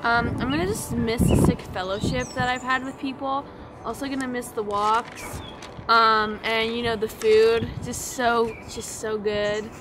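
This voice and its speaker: A young woman with a slightly high voice chats casually, as if answering an interview. Her speech is slangy and loose, and there is loud noise and clicking behind her. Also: steady tone, unhurried. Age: young adult. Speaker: student.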